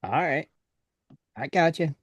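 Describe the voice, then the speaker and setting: Host, man, sixties, chatty and jokey; unhurried, dry close mic. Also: on the high side, expressive, no echo, lo-fi sound. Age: 60s. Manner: jokey, laughs often. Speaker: radio show host. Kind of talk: casual live chat.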